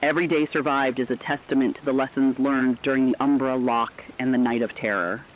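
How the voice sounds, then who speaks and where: A man playing a sober radio broadcaster, reading a scripted post-catastrophe report in an earnest, measured way. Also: medium pitch, fairly even intonation, dull audio, slight background noise, close to an everyday voice. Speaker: radio announcer.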